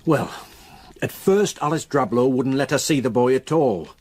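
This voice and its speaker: A man acting a solemn villager in a gothic radio play, his voice husky and breathy. He speaks haltingly and ominously, as someone reluctant to give up an old secret. The pitch sits in a middle male register. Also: a little mellow, natural voice. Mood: sombre recounting. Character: grave, reluctant local countryman haunted by dark secrets. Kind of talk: radio drama acting, storytelling.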